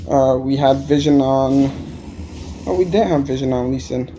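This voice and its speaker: A man's voice: medium pitch, moderate pace, dark tone, slight background noise, rough recording. A man from Alberta with a Quebec French-tinged accent, rambling through gameplay commentary with many hesitations. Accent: Quebec French-tinged English. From Alberta, Canada. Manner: rambling, hesitant, lots of uh fillers. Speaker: gamer.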